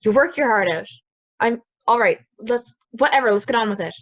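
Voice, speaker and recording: A woman playing a gruff, bitter parent on a jury in an amateur audio drama. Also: a little high, a little fast, a bit bright, muffled recording. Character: gruff, bitter parent juror estranged from a tough kid.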